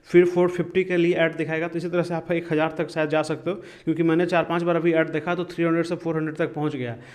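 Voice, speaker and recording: A man's voice: a little high, little pitch movement, quick pace. A man from India giving a casual step-by-step tutorial in a conversational, explanatory way, often checking in with the listener. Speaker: tech tutorial video host.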